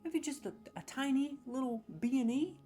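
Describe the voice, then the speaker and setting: A man's voice: very high-pitched, normal speed, darkish tone. A man hosting a comedic video, ranting sarcastically with mock outrage as he scores game voice lines.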